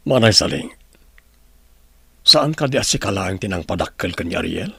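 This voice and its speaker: A stern, middle-aged male doctor, acted by a man in a dramatic audio story. His voice is husky with a gravelly creak, and he questions firmly. The sound is dry and close. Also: low voice, expressive, normal speed, a bit bright, no echo, heavily acted. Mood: stern, probing. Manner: questioning, firm.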